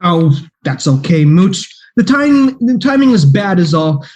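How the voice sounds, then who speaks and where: A man playing a fretful private detective in a radio play, flustered and quick to exclaim. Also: high-pitched, very animated, darkish tone, muffled recording.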